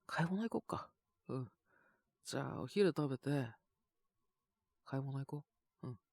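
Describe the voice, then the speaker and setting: A man with a sore, scratchy throat and a cough, chatting casually and intimately in soft, hesitant murmurs as if to someone beside him. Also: mid-range voice, expressive, moderate pace, vocal fry, no echo. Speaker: voice actor making situation-voice videos. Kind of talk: intimate casual chat to an unheard partner.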